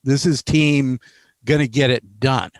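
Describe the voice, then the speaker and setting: A man's voice: mid-range voice, fairly even intonation, unhurried, no echo. A man hosting a casual video call interview, jokey and encouraging, teasing the guests. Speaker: interviewer host.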